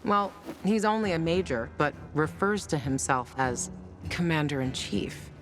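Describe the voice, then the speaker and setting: A woman's voice: sits low, animated, unhurried, soft background music. An actress playing a tough, blunt, foul-mouthed female fighter in a video game, with a sardonic manner. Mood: frank, assured. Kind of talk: video game cutscene acting.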